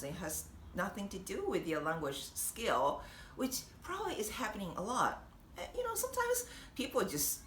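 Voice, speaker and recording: A young woman with a Japanese accent, chatting warmly and encouragingly to camera, laughing often. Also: fairly low pitch. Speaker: vlogger and language teacher. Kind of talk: casual encouraging talk to camera. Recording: echoey room with faint noise.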